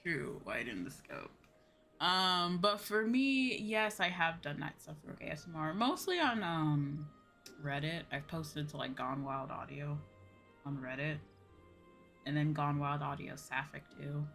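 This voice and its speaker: A woman's voice: low voice, lively intonation, a little slow, vocal fry, a bit bright. A young woman chatting casually and informally as she livestreams a game, with a giggly manner. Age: young adult. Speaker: streamer. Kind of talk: casual livestream chat while gaming. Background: music.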